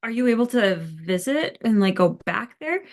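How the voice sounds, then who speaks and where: A woman's voice: medium pitch, very animated, moderate pace. A woman co-hosting a podcast, asking rambling questions in an enthusiastic, chatty way. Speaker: podcast co-host. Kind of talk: casual interview chat.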